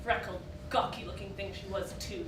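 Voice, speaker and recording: A woman's voice: medium pitch, steady tone, moderate pace, lightly acted. A woman playing the bitter, jealous, impulsive inner self of a society wife, blunt and unrestrained in a theatrical style. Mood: scheming. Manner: blunt, vehement, emotionally unrestrained. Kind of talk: theatrical stage acting. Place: indoor theatre stage, picked up from afar. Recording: roomy, distant stage recording with noise.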